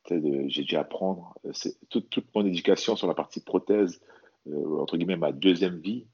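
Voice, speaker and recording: Very deep-voiced man, halting and earnest. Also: darkish tone, breathy edge, muffled recording. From French Guiana. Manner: halting, repeats words, earnest. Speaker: interview guest, athlete and bodybuilder. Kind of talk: casual personal testimony in conversation.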